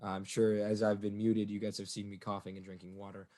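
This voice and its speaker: A young man hosting a sports preview show in an upbeat, announcer-like way, his voice slightly raspy from a cold. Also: on the low side, level tone, fast talker, warm tone. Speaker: show host.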